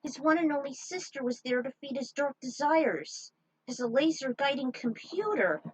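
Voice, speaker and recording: A woman reading fan fiction aloud over gameplay, switching between halting narration and chatty asides. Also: high-pitched, lively intonation, no echo, rough recording. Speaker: fan fiction reader and game player.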